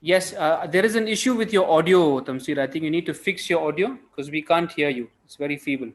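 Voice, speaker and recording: Higher-pitched man moderating an online event. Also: fairly quick. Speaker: host of an online pitch competition. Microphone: video call.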